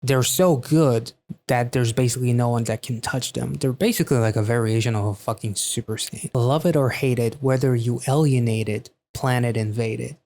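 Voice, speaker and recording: An enthusiastic man hosts a casual reaction to a song. His voice sits at a middle pitch. He comments on lyrics in a loose, conversational way and swears freely. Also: moderate pace, a little mellow. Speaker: music reaction host.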